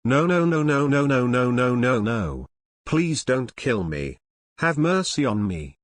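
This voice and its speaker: A man's voice: mid-range voice, very animated, a little slow, no room sound. A man playing a TV field reporter once held captive, in a scripted fictional news drama. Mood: pleading. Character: male TV field reporter, rescued captive of the Empire.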